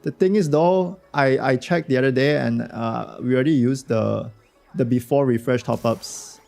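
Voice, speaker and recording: A man streaming a game with a hoarse voice from a cold, chatting loosely and slangily with his viewers. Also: medium pitch, normal speed, a little mellow, soft background music. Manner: slangy, rambling, talks to chat. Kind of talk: casual livestream chat reacting to a game.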